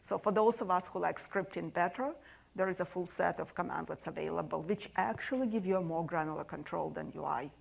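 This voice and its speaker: A woman presents a technical session in a soft, low voice with a noticeable gravelly fry. She explains steadily, pausing on frequent "uh" fillers as she walks through the material. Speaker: conference presenter. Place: conference session room.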